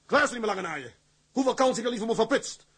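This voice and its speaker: An actor playing a hot-headed, stubborn tugboat skipper in a radio drama, blustering and cursing emphatically. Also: male voice, very high voice, animated, very fast, a bit bright, husky, exaggerated voice. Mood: defiant.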